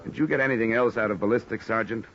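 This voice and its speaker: An American man acting a wry, hard-boiled insurance investigator in an old-time radio noir drama, deadpan and wisecracking. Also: fairly low pitch, fast, slight background noise. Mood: businesslike. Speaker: actor narrating and playing a detective. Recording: dull lo-fi old radio recording, music behind.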